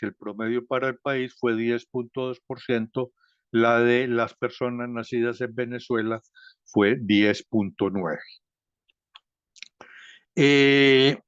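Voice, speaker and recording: A Colombian-accented man walks through his research at a slow, careful pace, pausing often to hesitate and correct himself. His voice is clear and smooth, and it comes through a slightly dull, dry online call. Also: mid-range voice, no room sound. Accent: Colombian Spanish. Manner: slow and deliberate, frequent hesitations and self-corrections. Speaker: researcher presenting at a seminar. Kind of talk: academic presentation.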